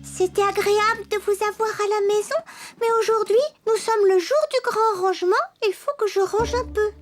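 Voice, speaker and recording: Une actrice joue, dans un dessin animé français, une dame jouet douce qui parle en s'excusant. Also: female voice, very high voice, steady tone, moderate pace, faint music behind. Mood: apologetic. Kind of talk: French children's cartoon dubbing.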